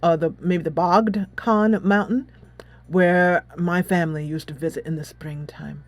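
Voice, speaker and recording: A woman voicing a shy, gentle teenage schoolboy from Mongolia in a soft, hesitant, subdued way for a game. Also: on the low side, steady tone, a little slow, dry recording, voice pushed down. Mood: quietly wistful.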